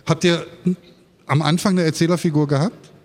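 A man's voice: a little high, steady tone, brisk, a little mellow. A man moderating a live stage interview, articulate and orderly as he comments and puts questions. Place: live stage at a book fair.

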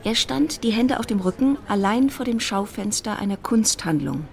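A woman narrating a literary period radio play as a wry, intimate storyteller, with a creaky edge to the voice. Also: medium pitch, animated, normal speed, a little hiss or hum. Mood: storytelling.

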